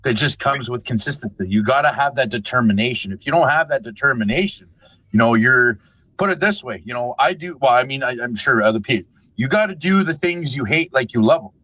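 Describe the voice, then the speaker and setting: A man's voice: medium pitch, animated, a little fast, pushed and bright, slightly rough, no room sound, highs cut off. A man in his thirties speaking earnestly on a video call, tagging his points with "right?" and "you know". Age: 30s. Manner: earnest, repetitive, tags "right?". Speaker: podcast guest. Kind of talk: casual panel discussion.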